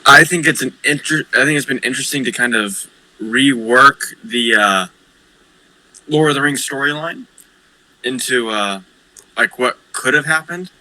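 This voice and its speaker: A man with a very bright, sharp voice at a middling pitch, recorded close and dry. He chats casually as a player at a tabletop game, measured and a little hesitant in how he puts things. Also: no room sound, poor audio. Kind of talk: casual chat.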